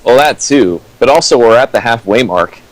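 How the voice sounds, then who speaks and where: A man's voice: mid-range voice, expressive, normal speed, low-quality recording. A young man giving casual comedic commentary, dry and wry, as a co-riffer on a reading.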